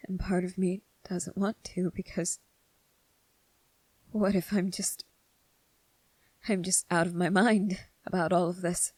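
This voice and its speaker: A woman voicing a gentle, earnest young male soldier in a soft-spoken, halting ASMR roleplay. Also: fairly low pitch, flat delivery, breathy edge, no room sound. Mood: nervous, hushed. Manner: hesitant, halting, repeating phrases, earnest.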